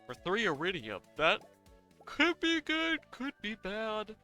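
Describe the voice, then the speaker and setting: Bright, lively man streaming a game. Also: high-pitched, slow. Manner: playful, hums and makes sound effects while talking. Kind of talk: casual live gameplay commentary, thinking aloud. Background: game music.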